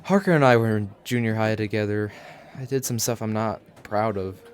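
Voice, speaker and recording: An actor playing a remorseful teenage high school boy in an audio drama, earnest and halting in his delivery. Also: close to an everyday voice. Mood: regretful. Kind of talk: scripted audio drama acting.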